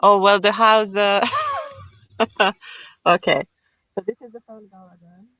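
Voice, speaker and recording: A woman from Hungary with a Hungarian accent, chatting as an interview guest in a halting way as she searches for words. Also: mid-range voice, steady tone, bright, forceful tone, no echo, lo-fi sound. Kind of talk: casual conversational interview. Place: at home in the countryside.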